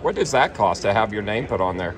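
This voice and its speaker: A man's voice: on the low side, animated, a little fast. A man casually interviewing people as the host of an expo vlog. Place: large indoor trade show hall. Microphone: handheld camera mic, speaker behind camera. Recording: noisy crowded expo hall.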